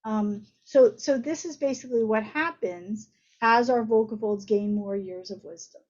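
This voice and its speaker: A woman's voice: on the high side, normal speed, darkish tone. A woman doctor giving an informal medical lecture, explaining things conversationally with everyday analogies over slightly muffled audio.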